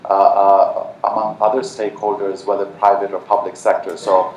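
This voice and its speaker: A man's voice: on the low side, flat delivery, moderate pace, a little mellow. Man from Nepal, echoey far-off hall. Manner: earnest, many fillers and restarts. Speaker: panelist. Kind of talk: panel talk. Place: large conference hall.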